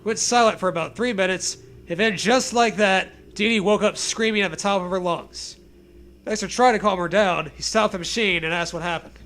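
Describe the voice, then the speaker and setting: A man's voice: high voice, moderate pace, fairly bright tone. A young man hosting a comedy podcast, reading bad fan fiction aloud in a casual, irreverent, jokey way. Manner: jokey, irreverent, laughs often.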